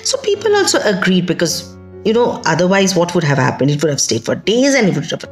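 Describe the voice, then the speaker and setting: A woman's voice: on the low side, a little fast. Indian lady storyteller, emphatic and chatty, swingy intonation, some music behind her. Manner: expressive, emphatic, conversational asides. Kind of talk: dramatic oral folktale storytelling. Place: indoors, small plain room.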